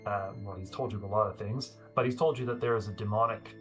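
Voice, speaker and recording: Male GM, lowish voice, talky and easygoing, music under him. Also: steady tone, quick pace, a bit bright. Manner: chatty, laughs often, many fillers. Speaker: game master. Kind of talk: narrating a tabletop game.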